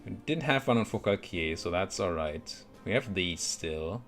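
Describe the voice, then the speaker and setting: Low-voiced man muttering through game commentary. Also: expressive, normal speed, a bit bright, soft background music. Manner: thinks aloud, muttering to himself. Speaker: video game streamer playing a strategy game. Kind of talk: casual let's play commentary.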